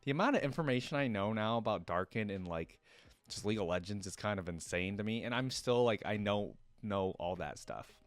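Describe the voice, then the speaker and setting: Low-voiced enthusiastic man reacting casually. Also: expressive, brisk, dry recording. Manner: enthusiastic, rambling. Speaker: reaction video host.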